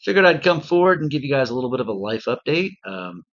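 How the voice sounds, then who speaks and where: A man's voice: medium pitch, lively intonation, fast, no room sound, highs cut off. Congested man in a dry closet. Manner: rambling, self-deprecating, many ums. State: congested, recovering from strep throat. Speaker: beginner voice actor. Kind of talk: casual personal vlog. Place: small cedar closet booth.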